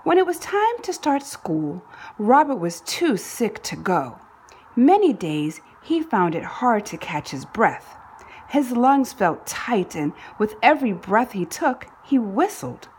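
A woman reading a children's book aloud in an expressive narrating style, phrasing deliberately and leaning on key words for drama. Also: medium pitch, slight background noise. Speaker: storybook reader.

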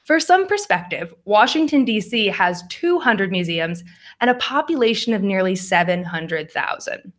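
A woman's voice: mid-range voice, expressive, normal speed. A young American woman presenting a talk over slides, friendly, with frequent ums and small laughs. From United States. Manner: friendly, laughs often, fillers like um. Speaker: conference speaker.

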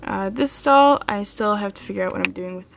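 A woman's voice: medium pitch, a little fast, gravelly, a little hiss or hum. A woman hosting her own channel, giving a casual walkthrough in a carpeted room while filming close with a handheld camera. She talks in a chatty way, with many "like"s and "um"s. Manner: chatty, filler-heavy, frequent "like" and "um". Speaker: model horse channel host.

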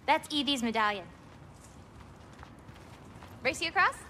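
A woman's voice: high voice, lively intonation, unhurried, slight background noise, heavily acted. An actress playing a confident, playful young giant girl in a video game. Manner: playful, teasing. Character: spirited, confident teenage giant girl, playful yet guarded. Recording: loud game music behind.

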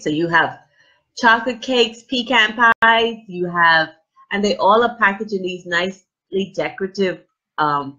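A woman's voice: mid-range voice, fairly bright tone, slightly rough. A middle-aged woman hosting a casual live unboxing from a small room, gushing and exclaiming over what she shows, with many fillers. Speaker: livestream host. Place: small indoor room with plain wall. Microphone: webcam at desk distance. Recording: dull lo-fi webcam audio.

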